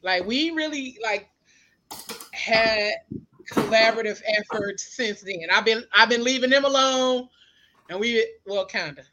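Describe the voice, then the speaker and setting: A woman co-hosting a casual chat show, loud and playful, often laughing. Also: on the high side, animated, unhurried, lo-fi sound, a little hiss or hum. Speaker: podcast co-host.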